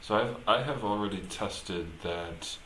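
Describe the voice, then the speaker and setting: Deep-voiced, slow-talking man in an echoey room. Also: pushed and bright. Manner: halting, muttering to himself while working. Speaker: live streamer, programmer. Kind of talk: casual live coding stream commentary, thinking aloud. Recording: roomy echo, clear background noise, typing.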